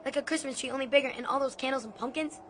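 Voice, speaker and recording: A performer playing a curious, excitable young boy in a dramatized audiobook, eager and puzzled in questioning. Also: high voice, racing. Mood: baffled wonder. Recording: clear background noise and ambience.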